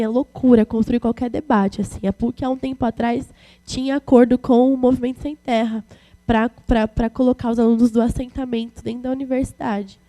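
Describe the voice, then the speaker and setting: A woman's voice: a little high, warm tone. A twenty-year-old woman, giving an informal talk as a student activist, colloquial and slangy as she answers questions. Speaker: guest speaker, student activist.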